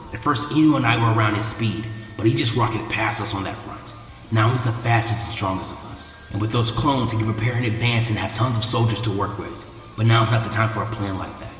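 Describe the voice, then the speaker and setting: Man playing a low, muted strategist ninja boy. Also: echoey room, big-room sound, close to an everyday voice. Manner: low-key, deliberate, analytical. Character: laid-back strategist boy ninja, analytical and serious.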